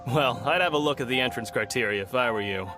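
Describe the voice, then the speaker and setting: A man's voice: mid-range voice, fast, close to an everyday voice. A man voicing a cocky, dismissive male tournament fighter in a game cutscene. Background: game music.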